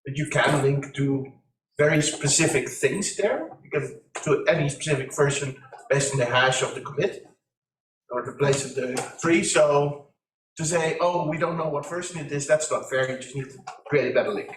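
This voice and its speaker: A man from industry speaking up from the audience in a conference discussion, forthright and practical, with a loud voice. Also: fairly high pitch, moderate pace, a little hiss or hum. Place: conference meeting room. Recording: echoey room, distant ceiling mics.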